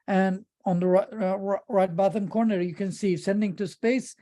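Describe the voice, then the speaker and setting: A woman's voice: mid-range voice, dark tone. A woman giving an informal presentation about a project as a presenter. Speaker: conference presenter.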